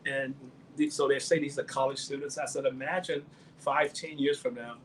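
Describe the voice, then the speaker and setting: A man's voice: medium pitch, steady tone, normal speed. A man speaking as a consultant guest, telling anecdotes casually in short, plain phrases. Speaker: guest, international business consultant. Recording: faint background ambient noise.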